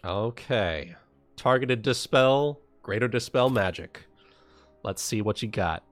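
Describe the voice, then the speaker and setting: A man narrating a tabletop game as game master, halting and repeating phrases as he improvises, with faint music behind him. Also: fairly low pitch, expressive, dry recording.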